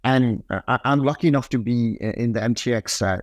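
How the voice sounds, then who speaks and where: A man from Nepal with a Nepali accent answering interview questions conversationally, in halting phrases full of fillers. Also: mid-range voice, no echo, studio quality. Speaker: podcast guest, tech company executive.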